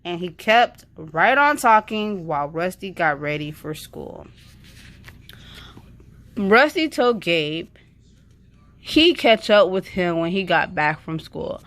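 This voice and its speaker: A woman's voice: lowish voice, fairly bright tone. A woman reading a children's story aloud in a storytelling style. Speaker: reader of a children's book.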